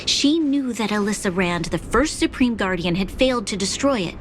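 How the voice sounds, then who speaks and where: A woman voicing a dutiful young female leader in a game cutscene, with a grave, measured, formal delivery. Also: medium pitch, expressive, background noise, close to an everyday voice. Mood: solemn. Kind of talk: video game cutscene voice acting.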